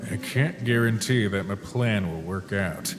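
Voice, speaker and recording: A man voicing a weary, guilt-ridden skeleton, a protective older brother, in an emotional comic dub with halting, sighing delivery. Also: lowish voice, a little hiss or hum, close to an everyday voice. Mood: weary, evasive. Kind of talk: dramatic comic dub acting. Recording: long reverb, music behind. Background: music.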